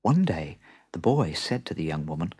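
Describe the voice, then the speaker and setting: A man narrating a children's story in an expressive storytelling style. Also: rumbling low, moderate pace, slightly rough.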